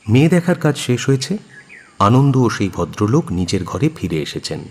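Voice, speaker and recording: A man's voice: mid-range voice, sing-song, moderate pace, husky. A man narrating a dramatic audio story in a literary, theatrical manner. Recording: long reverb, music behind. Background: music.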